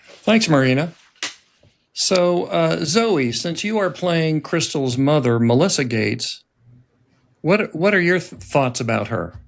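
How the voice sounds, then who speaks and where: A man hosting a conversational interview, asking his questions in a halting way. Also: fairly high pitch, expressive, creaky. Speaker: interviewer, host.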